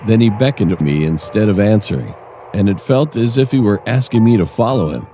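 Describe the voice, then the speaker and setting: A man narrating a scripted first-person horror story in a measured, dramatic storytelling manner. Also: fairly low pitch, lively intonation, warm tone, a little hiss or hum.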